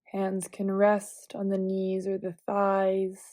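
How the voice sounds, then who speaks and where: Female meditation guide: unhurried, soft-edged mellow tone, gentle cues, very dry close sound. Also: medium pitch, dry recording. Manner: calm, gentle, measured cueing with long pauses. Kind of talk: guided visualization meditation, instructional.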